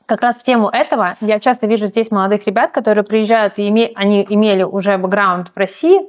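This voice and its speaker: A woman host interviewing a guest, speaking at a middle pitch for a woman. Her questions come in a relaxed, chatty flow, and she often murmurs in agreement while the guest talks. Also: quick pace. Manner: chatty, informal, frequent listening murmurs.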